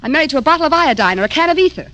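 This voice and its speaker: An actress playing a sarcastic, fiery doctor's wife in 1950s radio drama style. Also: female voice, high voice, lively intonation, very fast, bright, forceful tone, dull audio. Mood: scornful, sarcastic. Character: sarcastic, fiery doctor's wife; also a slangy island bar girl. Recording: old AM radio drama, music behind.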